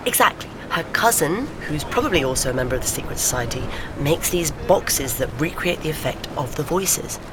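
An actress playing an eager amateur sleuth in an audio drama, talking through theories in an animated, insistent, conversational way. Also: female voice, deep voice, fairly quick. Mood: theorizing. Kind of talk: naturalistic audio drama dialogue. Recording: clear background ambience noise.

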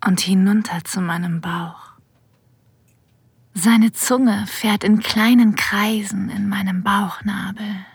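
A woman playing a sensual, confident boss in an erotic audio drama, narrating intimately in a breathy whisper, ASMR style. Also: mid-range voice, no room sound, heavily acted. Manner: slow, intimate, deliberate. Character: female boss having a secret affair, sensual and confident. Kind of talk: ASMR-like scripted first-person narration. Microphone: close, dry studio mic.

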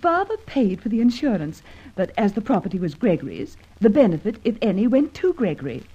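A woman's voice: medium pitch, big pitch swings, a little fast, warm tone, husky. A woman playing a young farmer's daughter in an old radio drama, earnest and proper, troubled but composed. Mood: earnest, resigned. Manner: proper, earnest, well-spoken. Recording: dull old radio recording.

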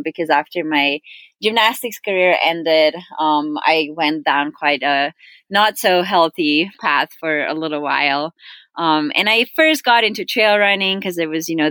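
A woman's voice: low voice, no room sound. A young woman from Slovenia, a guest athlete, talking casually in an interview with plenty of ums. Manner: relaxed, many ums, friendly.

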